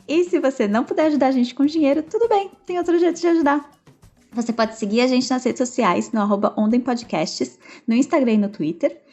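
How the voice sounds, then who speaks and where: A young woman hosts a podcast in a brisk, chatty tone. Her voice sits a little high. Soft music plays faintly underneath. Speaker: podcast host. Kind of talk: scripted intro and interview questions.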